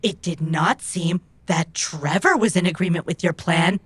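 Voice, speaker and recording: A woman's voice: lowish voice, normal speed, husky. A woman playing a proud, stubborn female robot who speaks in formal, precise, stilted phrases in an audio drama. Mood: stern, reproachful.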